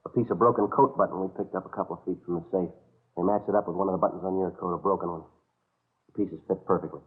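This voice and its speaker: A man's voice: sits low, steady tone, fast, close to an everyday voice. A man playing a terse, dogged police detective in a 1950s radio crime drama, clipped, matter-of-fact and unflappable. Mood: firm, relentless. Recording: narrow, lo-fi old radio broadcast.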